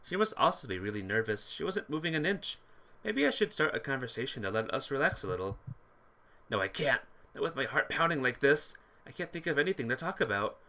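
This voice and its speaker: Lively, bright-voiced game streamer reading aloud. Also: medium pitch, fairly quick. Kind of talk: game playthrough narration with chat asides.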